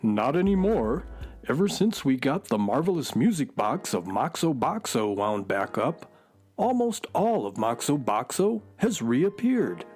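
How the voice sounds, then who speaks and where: Mid-pitched sensible horse sidekick, dry wit, lively intonation, children's radio play. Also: male voice, measured pace, breathy edge, faint music behind. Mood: helpful. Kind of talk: children's radio drama acting.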